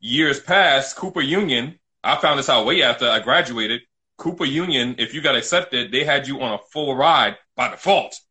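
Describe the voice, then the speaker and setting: A man's voice: medium pitch, animated, normal speed, pushed and bright. A middle-aged man from New City, telling his own story casually as an interview guest, emphatic and often repeating himself. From New York City, United States.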